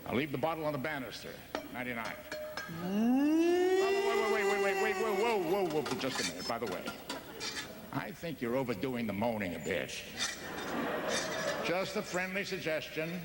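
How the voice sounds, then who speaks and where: A man's voice: fairly high pitch, wildly swooping pitch, normal speed, long pauses. A man playing a jovial, unflappable, bossy American father, folksy and blustering, in an audio drama. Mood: firm, salesmanlike. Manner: hearty, folksy, blustering, repeats himself. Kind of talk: theatrical audio drama acting. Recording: loud background noise.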